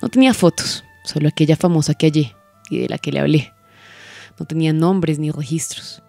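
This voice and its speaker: A woman's voice: sits low, brisk, creaky, mellow, no echo, faint music behind. A woman playing a cold, calculating, vengeful businesswoman confessing in an audio drama. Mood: cool, knowing. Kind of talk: scripted dramatic monologue.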